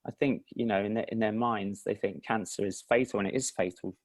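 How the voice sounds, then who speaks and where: A man with an Estuary English accent, talking as an indie filmmaker guest in a relaxed, self-deprecating interview chat. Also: on the low side, fairly quick, no echo. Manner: relaxed, self-deprecating, with frequent restarts and laughs.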